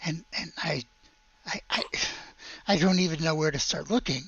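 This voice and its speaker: Game master doing worried priest guy in a tabletop game, halting, stammery, bright creaky voice, dull recording. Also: mid-range voice, no room sound. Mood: worried, bewildered. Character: anxious, hesitant village priest guarding a saint's relics.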